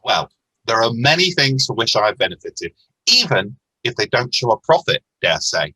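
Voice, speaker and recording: A good-hearted, earnest nephew in a radio play, acted by a man. His husky voice is bright and very lively in pitch, pleading with hesitant starts, recorded close and dry. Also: moderate pace, dry recording, full character voice, low-quality recording. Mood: earnest, heartfelt. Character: cheerful, earnest, forgiving nephew of a miser. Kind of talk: amateur radio play acting.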